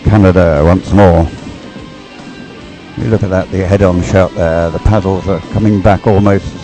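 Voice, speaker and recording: A man giving unscripted live race commentary, explaining as he goes in a hesitant, halting way and repeating words. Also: sits low, fairly even intonation, music in the background. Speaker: sports commentator.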